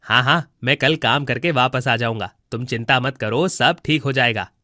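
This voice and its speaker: An actor playing a falsely dutiful, scheming adult son in a cartoon moral story, speaking in a placating way. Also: male voice, mid-range voice, normal speed, pushed and bright, no room sound, studio quality, close to an everyday voice. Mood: reassuring.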